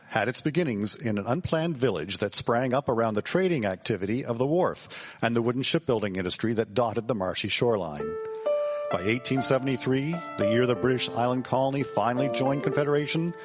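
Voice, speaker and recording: A man's voice: medium pitch, animated, a little fast. Male narrator over prominent guitar music. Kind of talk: scripted historical narration.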